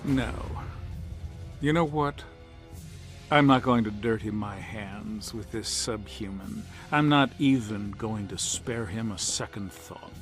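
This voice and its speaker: An actor playing a cruel, fanatical commander in a video game, giving pompous, menacing, sneering speeches. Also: male voice, fairly low pitch, slow pace, soft background music. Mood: menacing, contemptuous. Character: cruel, contemptuous American collaborator commander, a fanatical villain. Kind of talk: video game villain monologue.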